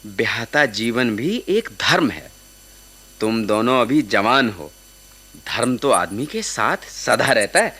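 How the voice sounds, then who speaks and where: A man's voice: mid-range voice, sing-song, takes it slowly, bright, forceful tone, a little hiss or hum, exaggerated voice. An actor playing a kindly, urgent watchman in a Hindi radio play. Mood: kindly advising. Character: kindly, urgent male watchman advising young lovers.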